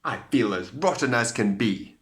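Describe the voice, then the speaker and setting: A man voicing a demanding, sulky young Cinderella in a loud, petulant storybook voice. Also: medium pitch, expressive, moderate pace, pitched above the performer's own voice, lightly acted.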